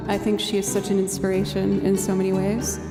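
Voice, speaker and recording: A woman with a low alto voice, chatting casually and upbeat between songs on her live music stream. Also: completely flat delivery, brisk, in a large hall. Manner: chatty and upbeat, thanking viewers.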